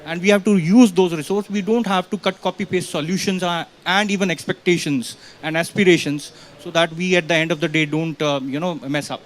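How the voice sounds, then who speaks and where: A man asks a question at a panel event, haltingly, often padding with "you know". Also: high voice, steady tone. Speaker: audience questioner. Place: conference pavilion with small audience. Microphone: handheld event microphone.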